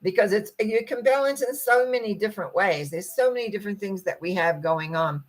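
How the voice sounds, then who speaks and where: A middle-aged woman with a croaky, husky voice gives a gentle, meandering spiritual talk and often clears her throat. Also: mid-range voice, fairly quick, poor audio. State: croaky, rough voice. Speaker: spiritual teacher. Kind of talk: reflective talk to viewers.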